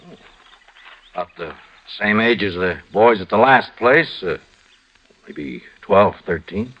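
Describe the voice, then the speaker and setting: Greenhorn cavalry officer: American, earnest, halting, animated intonation, dull vintage radio western recording. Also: male voice, on the low side. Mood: puzzled, hesitant. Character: earnest, naive, inexperienced US cavalry captain. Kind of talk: old-time radio western acting.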